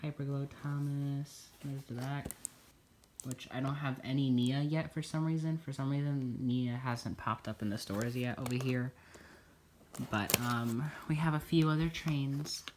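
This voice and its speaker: A man's voice: medium pitch, a little hiss or hum. A young man with a stuffy nose chatting casually while unboxing a toy at home, rambling with lots of fillers. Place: indoors at a table in a home room.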